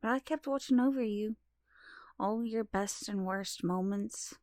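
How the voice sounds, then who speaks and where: A woman playing a gentle, knowing long-lost mother in an audio drama, maternal with a touch of reproach. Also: mid-range voice, unhurried, dry recording, studio quality, lightly acted. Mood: tender, knowing.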